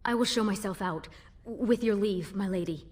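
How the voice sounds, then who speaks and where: A woman's voice: mid-range voice, moderate pace, a little mellow, close to an everyday voice. Woman as a meek, flustered young companion. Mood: embarrassed, submissive. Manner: apologetic, hesitant. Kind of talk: video game voice acting.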